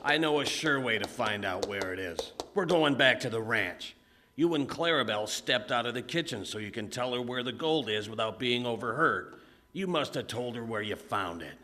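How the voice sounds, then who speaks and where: Guy doing a nasty cowboy outlaw, radio play style, forceful and threatening, bossing people around. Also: mid-range voice, lively intonation, normal speed. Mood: suspicious. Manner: threatening, barking orders. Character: menacing, suspicious Western outlaw killer, man. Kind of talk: old-time Western radio acting.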